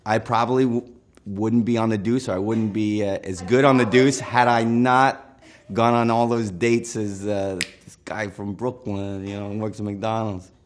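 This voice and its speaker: A man in his thirties recounts his stories in a loose, easygoing way, full of fillers. His voice sits a little on the low side. He comes across as an interviewee chatting casually. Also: moderate pace. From Northern California. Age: 30s. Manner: relaxed storytelling with frequent fillers.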